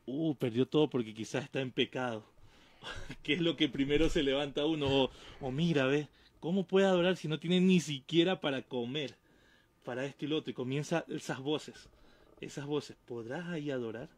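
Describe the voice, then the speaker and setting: A young adult man, a guest pastor, explaining calmly at a desk mic in a small studio, trailing off softly at the ends of phrases. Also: on the high side, moderate pace, no echo. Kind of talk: explanatory talk-show conversation. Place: small studio with painted backdrop. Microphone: desk mic on stand.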